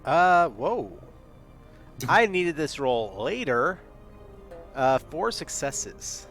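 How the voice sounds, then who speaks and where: A man's voice: a little high, very animated, drawn-out words, a bit bright, soft background music. A man playing a tabletop game, talking bluntly and swearing freely. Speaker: player. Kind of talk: casual tabletop chat.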